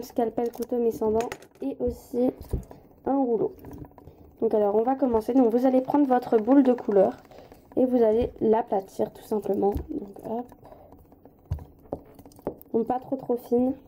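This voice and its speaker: Woman with a very soft-toned, stuffy voice. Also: on the high side, a little hiss or hum. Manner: hesitant, apologetic, informal. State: slight head cold. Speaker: craft tutorial host. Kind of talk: casual hands-on craft tutorial.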